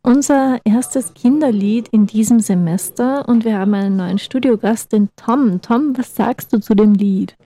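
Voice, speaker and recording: A woman's voice: a little high, a little slow, few pauses, mellow. A young woman, hosting a campus radio show in casual, teasing chat. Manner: chatty, teasing, laughs often.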